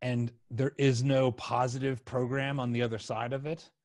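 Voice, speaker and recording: American man hosting, mid-pitched voice. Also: little pitch movement, normal speed, a little mellow. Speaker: podcast host. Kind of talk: casual discussion. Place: home room with sofa, video call. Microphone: desk microphone with headset.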